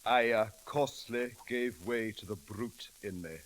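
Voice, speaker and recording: A man's voice: medium pitch, measured pace, close to an everyday voice. A man acting a proper, polite English manservant in an old radio comedy, speaking formally and a little hesitantly with an English accent. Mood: deferential, firm.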